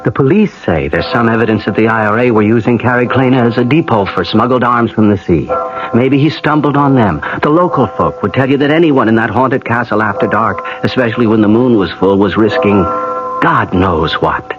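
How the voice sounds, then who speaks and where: Radio drama role, male actor: grave reflective man, lowish pitch, dull vintage broadcast sound. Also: lively intonation, fairly quick, over music, close to an everyday voice. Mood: grave, resigned.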